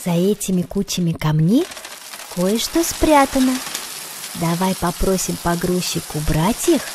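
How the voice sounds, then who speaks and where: A woman narrates for small children with very animated intonation. She speaks slowly at an average pitch, and there is clear noise behind her voice. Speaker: narrator of children's educational cartoon.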